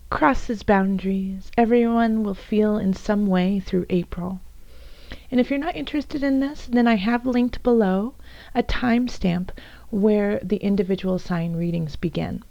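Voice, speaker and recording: A woman with a raspy, hoarse voice from bronchitis, softly reading tarot cards for her viewers, at times almost whispering. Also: medium pitch, dry recording, lo-fi sound. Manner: soft, at times whispery, with fillers and restarts. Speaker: tarot reader.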